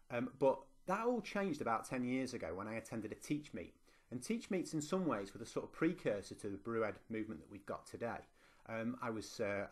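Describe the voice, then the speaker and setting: A middle-aged man from northwest England with a Lancashire accent, giving a conversational presentation to teachers, with frequent ums and restarts. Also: mid-range voice. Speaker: university lecturer and teacher. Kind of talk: online conference presentation.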